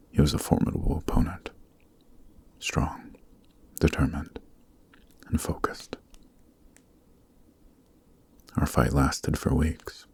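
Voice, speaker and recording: Man playing a very deep, gravelly demon king. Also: a little mellow, heavily acted. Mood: reflective. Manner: measured, soothing, deliberate, breathy near-whisper delivery. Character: noble, calm demon king, gentle and conciliatory. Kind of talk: ASMR roleplay, soft dramatic acting.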